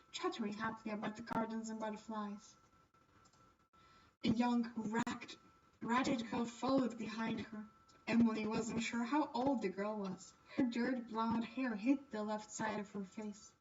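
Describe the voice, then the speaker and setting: High-voiced woman narrating a visual novel. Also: fairly even intonation, measured pace, far from the mic, muffled recording, crowd noise. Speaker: streamer. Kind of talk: animated read-aloud narration of a visual novel.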